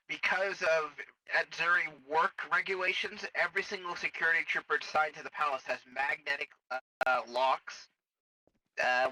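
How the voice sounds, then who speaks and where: A man playing a blunt, matter-of-fact military medic in improvised sci-fi game roleplay, explaining things haltingly. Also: on the high side, sharp tone, dry recording, low-quality recording.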